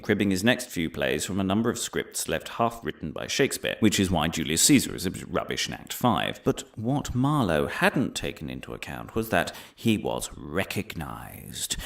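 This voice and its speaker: An actor playing a pompous, paranoid crackpot professor in a comic audio drama, lecturing theatrically with dramatic pauses, moving between hushed conspiracy and emphatic declaiming. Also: male voice, low voice, vocal fry, exaggerated voice. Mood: smugly triumphant, then dramatic revelation. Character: pompous, paranoid crackpot male professor delivering absurd revelations.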